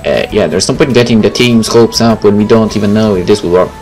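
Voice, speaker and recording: A man's voice: on the low side, fast, a little mellow. A man doing a let's play, reading visual novel text aloud and adding casual comments. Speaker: gaming video creator. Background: game music.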